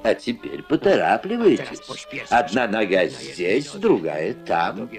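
A man's voice: fairly low pitch, huge pitch swings, takes it slowly, full character voice. A man voicing a stern, grumbling but grudgingly helpful adult stork in a dubbed puppet cartoon. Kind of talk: dubbed puppet animation dialogue. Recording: old film soundtrack with loud music.

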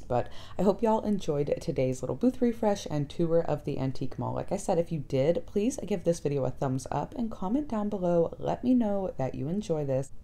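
A woman's voice: on the low side, expressive, moderate pace, hardly any pauses. A woman narrating a casual shopping vlog as its host, in a chatty, folksy way. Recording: soft background music. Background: music.

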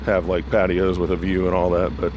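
A man giving casual, rambling, low-key vlog narration on a phone while out walking. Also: monotone, fairly quick. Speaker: vlogger. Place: outdoors on a sidewalk beside a busy road. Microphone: handheld phone. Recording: outdoor phone recording with traffic noise. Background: passing cars, street ambience.

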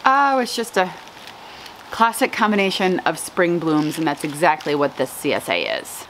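A woman in her thirties chatting casually to camera as a vlog host, with an expressive, gushing and playful manner. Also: sits low, normal speed, a little hiss or hum, rough recording. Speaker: flower farmer and vlog host. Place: outdoors on a covered house porch.

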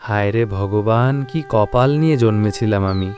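A man's voice: fairly low pitch, moderate pace, music in the background, natural voice. A man playing a poor, henpecked village husband in a cartoon, speaking in a meek, plaintive, long-suffering grumble. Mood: self-pitying. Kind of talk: cartoon dialogue.

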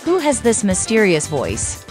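A woman's voice: mid-range voice, huge pitch swings, unhurried. A woman reading scripted quiz narration as the host. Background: music.

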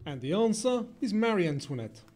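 Higher-voiced man reading quiz narration over music. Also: very animated, a little mellow. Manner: measured, formal question reading.

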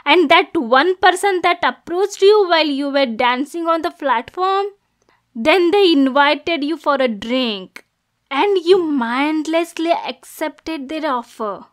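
A woman's voice: high-pitched, expressive, takes it slowly. A woman voicing a possessive, jealous yandere girlfriend in an ASMR roleplay, menacing and cooing by turns. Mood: furious, accusing. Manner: swings between soft cooing and angry, degrading outbursts. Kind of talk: ASMR roleplay, dramatic monologue.

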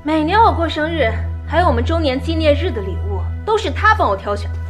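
Jealous girlfriend, high voice, lively, accusing, faint music. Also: fast talker. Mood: indignant. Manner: indignant, accusing. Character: jealous demanding young girlfriend.

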